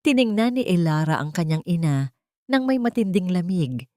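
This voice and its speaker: Filipino lady narrator reading a dramatic love story, lowish voice, really dry studio-like sound. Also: expressive, moderate pace, dark tone, no echo. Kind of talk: scripted melodramatic story narration.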